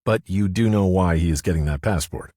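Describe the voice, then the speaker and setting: A man voicing a calm, menacing man giving a stern warning, in an audiobook. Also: low voice, brisk, dry recording, studio quality, voice pushed up.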